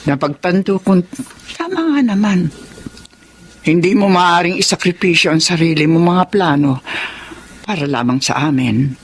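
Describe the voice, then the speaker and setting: A woman's voice: lowish voice, slight background noise. A woman with a Filipino accent playing a blunt but loving mother of a grown daughter, emphatic, in a radio drama.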